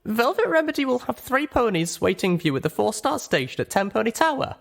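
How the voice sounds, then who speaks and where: An anxious young female pony from an audiobook tale, acted out by a man. The voice is pushed high and sounds urgent and earnest, as if begging to be heard. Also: big pitch swings, fairly quick, gravelly, fairly bright tone, heavily acted. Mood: polite, businesslike. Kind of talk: dramatic audiobook character reading.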